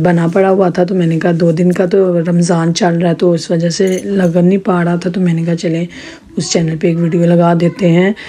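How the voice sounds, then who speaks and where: A woman with a sore, hoarse throat chatting in a rambling home vlog with her viewers. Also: on the low side, warm tone. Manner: chatty, rambling with many restarts. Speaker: vlogger. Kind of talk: casual home vlog narration.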